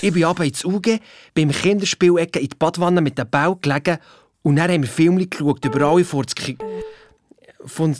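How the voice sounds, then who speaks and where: A male actor playing an excitable, boastful young boy detective with a Swiss German accent, telling his adventure in breezy everyday language for a children's audio drama. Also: fairly high pitch, fairly quick, slight background noise, close to an everyday voice. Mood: eager storytelling. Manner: breezy colloquial storytelling, blunt and bickering.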